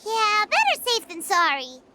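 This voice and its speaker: A woman's voice: very high voice, wildly swooping pitch, a little slow, heavily acted. A woman voicing a small, childlike game companion, helpful and talkative, with a cute, emphatic, explaining manner. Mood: cautious. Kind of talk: voiced video game dialogue. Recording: background game music.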